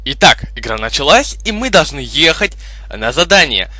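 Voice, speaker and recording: A young man hosting a gaming video with casual, halting, improvised gameplay commentary. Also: fairly high pitch, very animated, pushed and bright, no echo. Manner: hesitant, halting, improvised phrasing.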